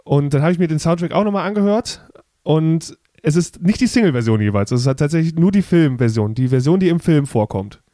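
A man's voice: fairly high pitch, lively intonation, a little fast, mellow, dry recording, clean studio sound. A man in his thirties hosting a podcast in casual chat, talking freely with many fillers and restarts. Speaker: podcast host.

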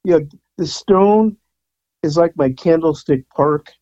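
A man in his sixties talks as a guest in a loose, rambling way, full of fillers and restarts. His voice is mellow and a little higher than usual for a man. The sound is dry and slightly dull, as if from a lo-fi recording. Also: slow, dry recording. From San Francisco Bay Area. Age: 60s. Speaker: guest, rock photographer. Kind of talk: casual interview chat.

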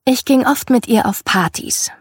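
A woman narrating a first-person memoir as a scripted audiobook, in a measured, reflective storytelling manner. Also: a little high, slightly rough, no echo, clean studio sound.

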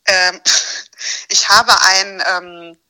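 A woman's voice: mid-range voice, very slow, clear, resonant tone, poor audio. A woman in her thirties chatting as a podcast guest, telling stories with plenty of laughter. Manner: laughing, lively storyteller. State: busy mother with young children. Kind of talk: casual chat.

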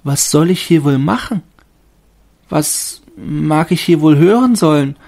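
Man doing a higher, wondering voice for an anxious young fir tree in a storybook; lively, a little breathy. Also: a little slow, full character voice. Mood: bewildered. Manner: wondering, eager questioning.

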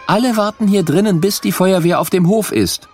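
A man's voice: high voice, fairly quick, darkish tone, husky, faint music behind, pitched above the performer's own voice. A man voicing a female kindergarten teacher urgently calling children back inside. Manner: urgent, raised calls to children.

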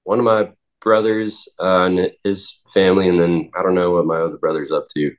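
A man of twenty, a singer-songwriter, answering interview questions in a halting way with many pauses and restarts. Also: sits low, level tone, poor audio. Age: 20s.

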